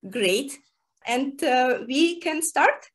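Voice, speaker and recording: A woman's voice: sits high, lively intonation, extremely slow. A woman who is not a native English speaker, giggly and cheerful, chatting casually in a language tutorial as host and learner. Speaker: amateur radio host learning Japanese.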